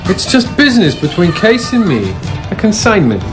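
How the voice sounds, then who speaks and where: High-pitched, menacing crime boss. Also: male voice, huge pitch swings. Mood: cool menace. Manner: brisk, coldly businesslike, threatening. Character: slick, menacing male underworld boss. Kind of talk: radio drama acting. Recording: music behind dialogue.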